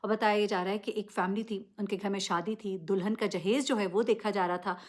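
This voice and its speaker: Female vlogger, mid-pitched, for off-the-cuff news commentary; emphatic, direct, questioning delivery. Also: steady tone, a little fast, a little mellow. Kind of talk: unscripted news commentary to camera.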